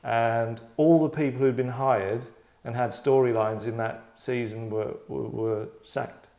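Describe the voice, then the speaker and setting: A man's voice: medium pitch, steady tone, unhurried, vocal fry, warm tone. A man hosting a table read in a rambling, digressive, tongue-in-cheek chat. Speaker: host and writer running a table read.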